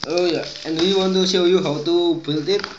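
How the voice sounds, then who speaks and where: A young man from Indonesia with an Indonesian accent, co-hosting a casual toy unboxing in a relaxed, mumbling way. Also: high voice, moderate pace. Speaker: co-host. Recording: muffled echoey room, background noise.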